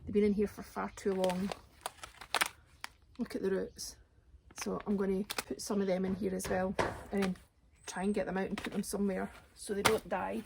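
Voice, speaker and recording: A woman, her voice raspy today, chatting casually as she works in her outdoor garden with birdsong around her. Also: medium pitch, level tone, normal speed, very noisy. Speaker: gardening vlogger. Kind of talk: casual chat while gardening.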